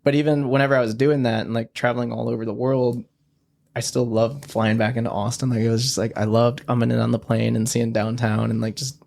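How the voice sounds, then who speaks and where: A young man guesting on a podcast, telling stories in a relaxed, rambling way and saying "like" a lot. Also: mid-range voice, brisk. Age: young adult. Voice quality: gravelly, heavy use of "like". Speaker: podcast guest, studio founder. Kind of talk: casual conversation.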